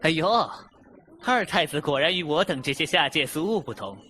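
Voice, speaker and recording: A snide courtier sneers with false deference in a costume audio drama. The voice is sharp and somewhat high, with faint noise behind it. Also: male voice, lively intonation, normal speed. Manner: sarcastic, fawning.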